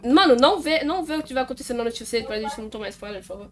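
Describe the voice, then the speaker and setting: A young woman chatting fast over voice chat while gaming, snappy and a little bossy. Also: on the high side, lively intonation. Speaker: gamer playing with friends.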